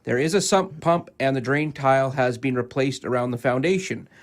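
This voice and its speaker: Radio co-host, male, average pitch, reads listener mail on air. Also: normal speed. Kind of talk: reading aloud on a radio show.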